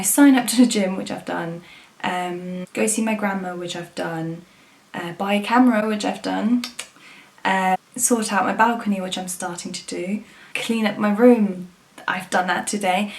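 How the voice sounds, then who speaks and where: A woman's voice: medium pitch, darkish tone. A woman, talking frankly and casually to camera, swearing now and then. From England. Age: 20s. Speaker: vlogger.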